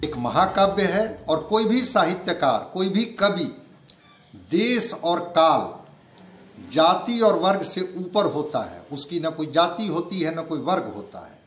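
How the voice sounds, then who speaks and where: A man's voice: fairly high pitch, animated, unhurried, a bit bright, slight background noise. A man giving political commentary like a spokesman, earnest and oratorical in his phrasing. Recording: roomy, echoing interview sound.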